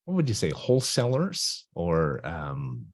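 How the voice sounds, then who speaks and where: A man's voice: low-pitched, wildly swooping pitch, measured pace, no echo, clean studio sound. A man hosting a talk radio interview over a video call, enthusiastic and rambling, with many uhs and restarts. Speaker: host.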